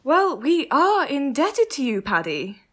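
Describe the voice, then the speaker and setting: A woman's voice: high-pitched, very animated. A woman playing a plummy English lady of the manor. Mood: gracious. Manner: plummy, affected, drawn-out. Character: posh genteel lady of the manor, fussy. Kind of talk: student radio play acting.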